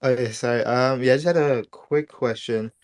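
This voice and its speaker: A man's voice: medium pitch, steady tone, moderate pace, no echo, studio quality. A man speaking casually and hesitantly as he asks questions, often filling with "like". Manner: hesitant, frequent "like". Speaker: participant asking questions. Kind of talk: casual chat.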